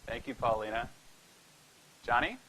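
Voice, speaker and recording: A man's voice: medium pitch, animated, a little slow, bright, forceful tone. A man moderating a panel discussion with short prompts that hand over to each speaker. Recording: echoey room sound.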